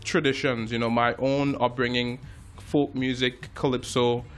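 A man's voice: mid-range voice, unhurried. A man in his thirties from Trinidad and Tobago, a jazz musician telling stories in a casual interview with many fillers and restarts. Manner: relaxed storytelling with frequent fillers and restarts. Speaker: jazz musician and filmmaker, guest.

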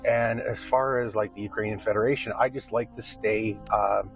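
A man's voice: on the low side, fairly even intonation, moderate pace, gravelly, soft background music, low-quality recording. A middle-aged man with a Canadian accent answering interview questions casually, in a rambling, hesitant way. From Windsor, Canada.

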